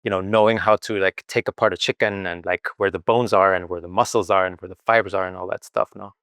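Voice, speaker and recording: A man from Venezuela hosts a podcast, asking casual, rambling questions packed with fillers. His voice sits fairly low and sounds smooth and clear. The recording is dry and close. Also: fairly even intonation, fast talker, fairly bright tone, no echo. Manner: loose, rambling, lots of "like" and "you know". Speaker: podcast host.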